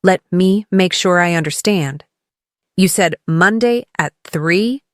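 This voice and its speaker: Woman english teacher doing repeat-after-me phrases, really slow and careful, super dry close sound. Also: on the low side, no echo. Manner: encouraging coaching. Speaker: English teacher leading shadowing practice. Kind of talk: scripted language lesson, model phrases said twice for repeating.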